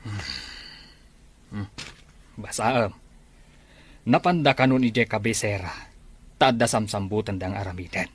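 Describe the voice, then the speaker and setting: A man's voice: fairly low pitch, unhurried, pauses often, breathy edge. A man with an Ilocano accent playing a stern, disgruntled farmer father in a family drama. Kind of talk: amateur Ilocano radio-style family drama.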